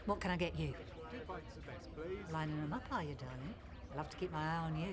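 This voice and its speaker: A woman's voice: low-pitched, fairly quick, natural voice. An actress playing a flirtatious, welcoming village pub landlady in a radio soap. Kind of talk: radio soap opera acting. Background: crowd chatter.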